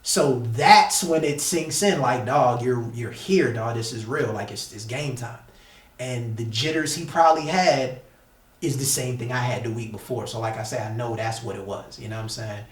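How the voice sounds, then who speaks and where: A man's voice: mid-range voice, moderate pace. A man from the United States telling a story casually as an interview guest, with slangy, emphatic and repetitive phrasing. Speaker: interview guest, battle rapper. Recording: echoey room, distant mic.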